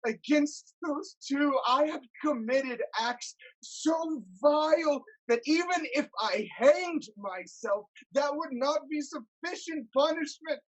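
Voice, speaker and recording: A woman's voice: high voice, expressive, takes it slowly, rough recording. A woman playing an anguished, self-loathing blinded king in a declamatory, lamenting style of Greek tragedy. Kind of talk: theatrical Greek tragedy acting.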